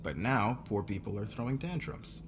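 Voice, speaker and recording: A man's voice: on the low side, very animated, moderate pace, background noise. A man playing a curt, sarcastic, hot-tempered company boss in a fantasy ASMR roleplay. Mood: defensive, guarded.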